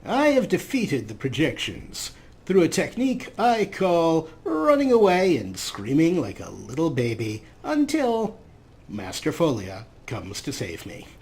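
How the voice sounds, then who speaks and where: A man's voice: fairly high pitch, wildly swooping pitch, a little slow, a little mellow. A man giving casual, self-deprecating gameplay commentary as a let's play host.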